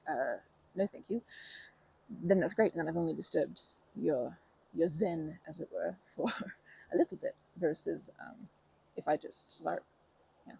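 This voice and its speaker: Someone acting super shy nervous park stranger, stammers and apologizes constantly, breathy n really creaky, asmr roleplay. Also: mid-range voice, warm tone. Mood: hesitant, polite. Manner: halting, stammering, over-apologetic, nervous laughter. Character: timid, anxious, kind park-goer, painfully apologetic. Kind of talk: ASMR roleplay, improvised-sounding monologue to listener.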